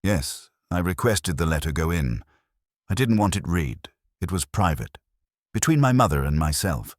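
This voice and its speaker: A man, very deep-voiced, reads a prim and brittle bereaved woman in an audio mystery. The answers are clipped and guarded, with a slightly husky tone. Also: very animated, normal speed, dry recording, clean studio sound.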